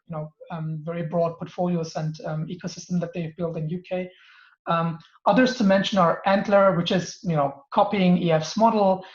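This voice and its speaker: A man's voice: sits high, darkish tone, reverberant, lo-fi sound. A man, answering interview questions with many ums and restarts. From Germany. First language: Turkish and German. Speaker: venture capital partner, guest.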